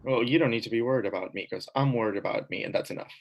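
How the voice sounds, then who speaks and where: A man's voice: mid-range voice, very fast, gravelly, poor audio. A man reading the part of a defensive, sulky young man in an audition scene, grumbling and hesitant.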